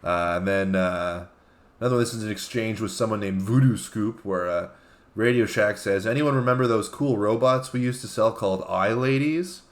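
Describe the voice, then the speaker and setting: A man co-hosting a casual comedy talk show, phrasing things in a halting, deliberate way. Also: fairly low pitch. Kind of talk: casual comedic chat, reading out tweets.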